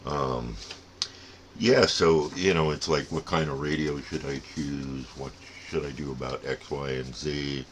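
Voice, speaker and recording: A man's voice: rumbling low, steady tone, measured pace, slight background noise. An older man giving a casual, rambling, folksy explanation as a plain-spoken hobbyist host. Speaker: radio hobbyist and channel host.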